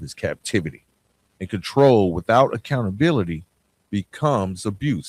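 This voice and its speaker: Guy doing a motivational podcast talk, lowish voice, direct and emphatic, repeats stuff for weight, really dry close sound. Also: no room sound. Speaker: podcast host. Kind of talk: motivational relationship talk, spoken to listeners.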